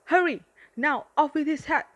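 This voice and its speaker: High-pitched, bossy unicorn played by a woman. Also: moderate pace, dull audio, background noise, natural voice. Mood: gleefully menacing. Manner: gushing, coaxing, commanding. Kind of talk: amateur radio drama acting.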